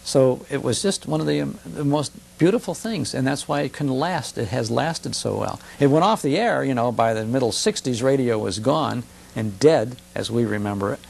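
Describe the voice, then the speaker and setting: A man's voice: medium pitch, gravelly, darkish tone. An American man in his fifties speaks as an interview guest in fluent, nostalgic storytelling, using short emphatic phrases and rhetorical questions. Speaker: guest, old-time radio broadcaster and enthusiast. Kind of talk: nostalgic conversational interview talk. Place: television studio. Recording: older TV broadcast with faint hiss and hum.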